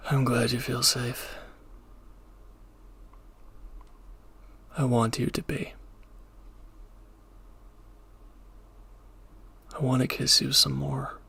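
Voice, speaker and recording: A husky, crackling low voice belongs to a reformed villain played by a man. He is a protective lover with a firm streak, speaking in an intimate audio drama. His pitch stays fairly contained. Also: flat delivery, a little slow, sharp tone, heavily acted. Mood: tender, intimate. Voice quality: gravelly, breathy. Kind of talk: intimate audio roleplay acting.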